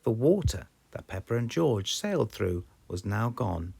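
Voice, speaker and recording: British children's read-aloud narrator: slow, gravelly, widely swinging intonation. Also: mid-range voice, sing-song, mellow. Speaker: storybook narrator.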